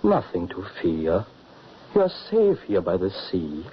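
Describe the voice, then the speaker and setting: An actor playing a calm, grave, kindly husband in an old-time radio drama, on a muffled, hissy recording. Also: male voice, sits low, slow, full character voice. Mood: soothing.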